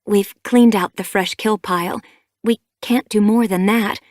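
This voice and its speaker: A woman's voice: medium pitch, steady tone, unhurried, darkish tone, no room sound, studio quality, lightly acted. A woman reading an audiobook, voicing an earnest, defensive mother she-cat who reasons firmly.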